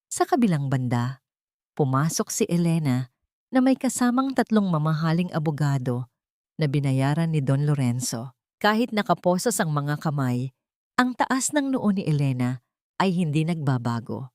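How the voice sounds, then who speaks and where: A woman with a low voice narrates an audio drama from a script. Her delivery is dramatic storytelling, recorded dry and close. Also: sing-song, moderate pace, darkish tone, dry recording.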